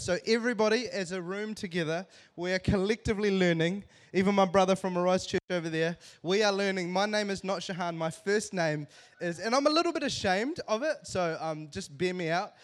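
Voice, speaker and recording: A man in his thirties with a New Zealand accent, giving a lively, joking church talk to a congregation. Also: high voice. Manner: animated, joking, self-deprecating. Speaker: church speaker. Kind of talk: humorous sermon storytelling to a congregation.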